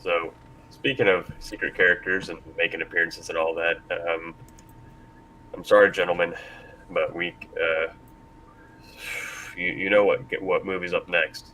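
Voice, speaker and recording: A man's voice: sits low, little pitch movement, a little slow, pauses often, bright, forceful tone, a little hiss or hum, poor audio. A man hosting a casual panel discussion, talking informally before a live room. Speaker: panel host.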